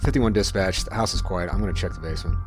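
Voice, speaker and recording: A man plays a gruff small-town sheriff in an audio drama. His voice sits low and he talks in plain police procedure, with music clearly behind him. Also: quick pace, natural voice. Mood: alert, official.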